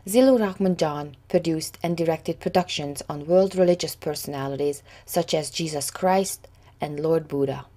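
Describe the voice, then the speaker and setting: A woman's voice: low-pitched, normal speed, dark tone. A woman narrating a documentary in a plain, scripted reading.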